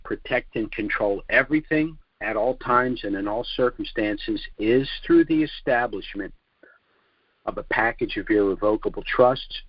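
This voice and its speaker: An older man giving a formal, deliberate sales presentation as the host of a financial conference call. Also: mid-range voice, no echo. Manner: deliberate, formal, measured phrasing. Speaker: financial trust consultant hosting a conference call. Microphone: telephone conference call. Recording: muffled lo-fi conference call audio.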